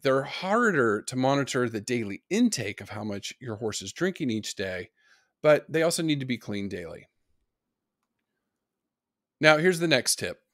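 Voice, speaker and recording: A man's voice: mid-range voice, big pitch swings, moderate pace, long pauses, fairly bright tone, no echo, studio quality. A middle-aged man lecturing on horse nutrition, giving practical tips in an online course. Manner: practical, advice-giving explanation.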